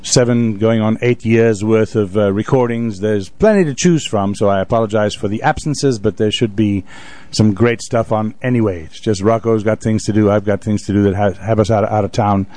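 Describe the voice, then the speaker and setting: Male radio host, lowish register, casual and chatty, dry studio sound. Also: fairly even intonation, moderate pace, warm tone, no room sound.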